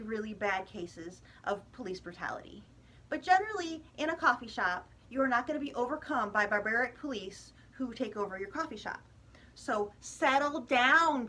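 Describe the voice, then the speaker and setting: High, bright-voiced American woman vlogger. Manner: chatty, emphatic, exasperated asides. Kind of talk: casual opinion monologue to viewers.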